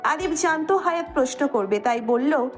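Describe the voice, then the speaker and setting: A woman's voice: high-pitched, lively intonation, moderate pace, faint music behind. A woman with a Bangladeshi accent narrating a scripted romantic audio story.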